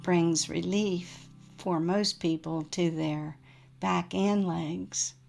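A woman guiding a relaxation meditation as a yoga nidra teacher, in a gentle, soothing manner with unhurried pauses. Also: lowish voice, steady tone, gravelly, over music.

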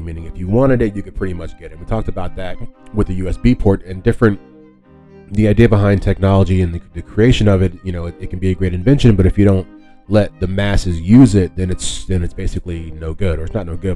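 A man's voice: low-pitched, dark tone. A man hosting a podcast solo, explaining things casually with rambling, conversational asides. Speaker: podcast host.

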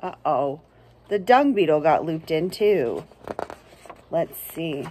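A woman's voice: low voice, animated, slow pace, warm tone, slight background noise. A young woman reading a picture book to children as a librarian, explaining simply and checking in with her listeners. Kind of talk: children's read-aloud with asides. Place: outdoors under trees by a house.

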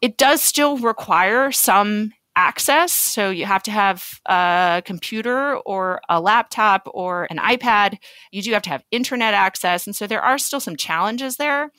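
Female expert guest for a medical podcast: explanatory, articulate, medium pitch. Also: measured pace, bright, forceful tone, no room sound.

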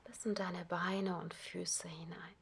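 Slow whispering woman guiding relaxation. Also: fairly low pitch, vocal fry, slightly rough. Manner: soft whispered, slow and calm. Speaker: yoga nidra teacher. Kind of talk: guided relaxation. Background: ocean waves.

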